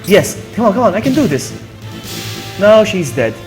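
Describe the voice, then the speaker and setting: A man streaming a video game, commenting live and chatting with viewers in an excitable, exclamatory way, often repeating his phrases. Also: sits high, normal speed, soft background music.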